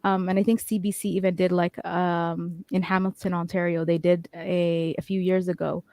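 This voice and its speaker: A woman's voice: lowish voice, level tone, moderate pace, darkish tone, no echo. A woman guest from Canada explaining a point in detail on a panel, with frequent restarts. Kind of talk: panel discussion.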